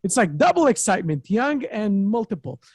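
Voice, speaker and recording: A man's voice: sits high, moderate pace, husky, no room sound. A middle-aged man hosting a podcast from a home office with a studio mic, talking eagerly with loose, repetitive phrasing. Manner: excitable, repetitive, non-native phrasing. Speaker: podcast host. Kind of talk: enthusiastic interview chat. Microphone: studio mic on boom arm.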